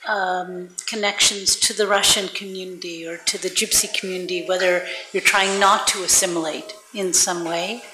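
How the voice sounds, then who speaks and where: Bright woman in an echoey room. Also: on the low side, steady tone, normal speed, hardly any pauses. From United States. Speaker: audience member asking questions. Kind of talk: spontaneous audience questions. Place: indoor public event room. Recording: echoey room, faint background noise, clicks.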